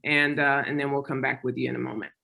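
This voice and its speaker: A woman's voice: rumbling low, flat delivery, quick pace, creaky, pushed and bright. A woman co-presenting a webinar, giving the audience instructions before a video is played. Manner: guiding, instructional. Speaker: workshop co-presenter.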